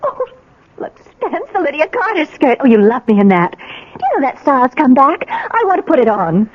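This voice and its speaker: A woman's voice: sits high, normal speed. An American woman playing a wronged, anxious wife in a 1980s radio drama, with an emotional, brittle, theatrical delivery. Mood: wistful, amazed. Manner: theatrical, expressive. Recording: dull lo-fi old radio recording.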